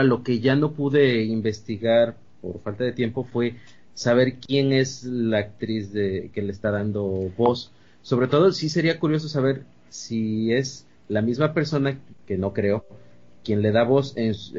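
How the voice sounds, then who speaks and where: A man, talking through video games in a measured, explanatory way on a casual panel show. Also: medium pitch, darkish tone, muffled recording. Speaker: podcast panelist. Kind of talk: casual gaming chat.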